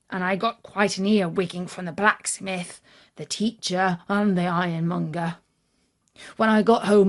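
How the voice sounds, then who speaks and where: A woman with a British accent narrates a children's story. She takes her time over the words in an expressive, measured way, at an ordinary medium pitch.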